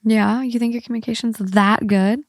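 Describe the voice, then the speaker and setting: A woman's voice: on the high side, fairly even intonation, moderate pace, no echo. A woman hosting a podcast, chatting casually and reading stories aloud. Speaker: podcast host. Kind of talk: casual co-host chat and reading a story aloud.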